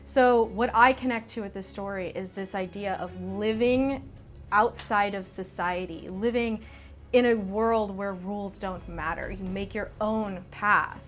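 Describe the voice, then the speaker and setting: A woman's voice: mid-range voice, measured pace. An American woman from the Southwest giving interview commentary as an audio drama's creator.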